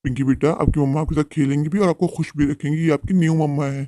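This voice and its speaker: A man playing a protective young widowed father in a Hindi cartoon, earnest, respectful and gentle in manner. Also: a little high, steady tone, fast, no echo, studio quality, close to an everyday voice. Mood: gentle, hopeful.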